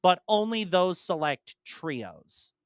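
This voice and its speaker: A man co-hosting a casual podcast, rambling with lots of fillers and restarts, slipping into animated, mock-exasperated asides. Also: high voice, slow pace, clean tone, no echo, studio quality. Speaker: podcast co-host and streamer.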